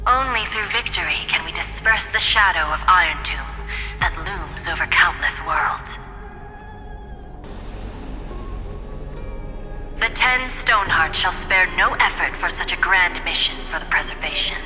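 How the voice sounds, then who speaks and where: A woman playing a poised, calculating corporate executive in a video game, speaking in a measured, formal, diplomatic way. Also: on the low side, lively intonation, sharp tone. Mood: composed resolve. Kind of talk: scripted video game voice acting. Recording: long reverb, faint music behind.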